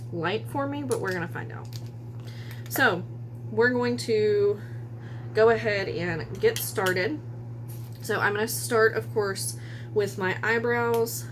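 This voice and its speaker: A woman talks through her makeup in a chatty, wandering way, often correcting herself mid-thought. Her voice is hoarse and worn out, sitting at an ordinary middle pitch. A faint hum runs underneath her. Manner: chatty, rambling, self-correcting. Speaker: beauty vlogger. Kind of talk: casual makeup tutorial. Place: room at home by a window.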